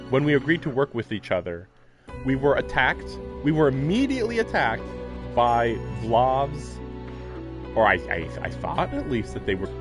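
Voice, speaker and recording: A man streaming a visual novel, reading its text aloud and reacting in a rambling, casual way, with swearing and short laughs. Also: medium pitch. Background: game music.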